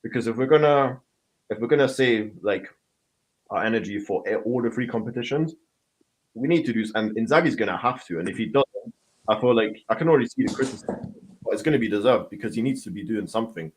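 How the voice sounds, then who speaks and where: A man's voice: medium pitch, fast talker, poor audio. A man co-hosting a podcast, talking football in a rambling, hesitant way with many ums. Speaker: podcast co-host. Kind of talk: casual football chat.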